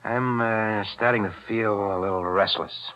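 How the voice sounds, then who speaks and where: A man's voice: on the low side, fairly even intonation, measured pace, lightly acted. A man acting a cold-blooded, wily gangster with slangy tough-guy talk in a 1940s radio crime drama. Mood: menacing. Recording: muffled 1940s radio recording.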